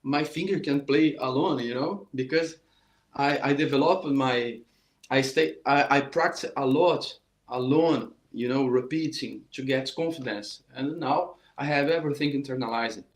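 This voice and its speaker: A man's voice: mid-range voice, moderate pace, reverberant. A middle-aged Brazilian man with a Portuguese accent, teaching a saxophone lesson in earnest, repetitive, restarting English. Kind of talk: informal online music lesson.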